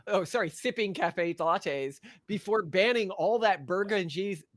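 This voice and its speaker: A man's voice: sits high, animated, unhurried, a bit bright. A man co-hosting a podcast, talking in a rambling, sarcastic way and reading facts off a list. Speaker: podcast co-host. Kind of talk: casual opinionated chat.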